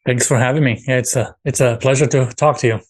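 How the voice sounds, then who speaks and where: A man's voice: mid-range voice, steady tone, fast. A man from Romania, a guest in an interview, talking earnestly and often restarting his phrases. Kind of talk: casual interview talk.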